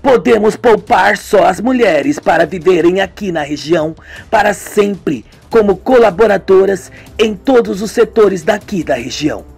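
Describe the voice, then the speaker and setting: A man voicing a cold female cult villager who states threats calmly and matter-of-factly. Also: mid-range voice, a little slow, creaky, husky, faint music behind, full character voice. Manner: calm, matter-of-fact menace.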